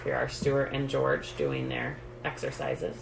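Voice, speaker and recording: A woman reading a children's book aloud as a storytime host, in a measured storytelling way. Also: rumbling low, very animated, creaky. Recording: roomy echo, light background noise.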